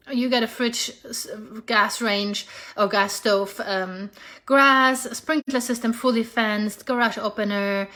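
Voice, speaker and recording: A woman's voice: mid-range voice, sharp tone. A woman with a German accent, a real estate agent giving a casual, upbeat market update straight to her viewers, chatty and persuasive with small slips.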